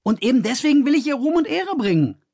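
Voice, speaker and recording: Ein kühner, trotziger junger Mann, von einem männlichen Sprecher gespielt, der schnell und herausfordernd redet. Die Stimme liegt deutlich höher als die des Erzählers und klingt leicht rau. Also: male voice, extremely high pitch, very animated, vocal fry, a little mellow, husky, voice pushed up, exaggerated voice. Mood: determined. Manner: brash, fast, challenging. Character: bold, defiant young man, strong and determined.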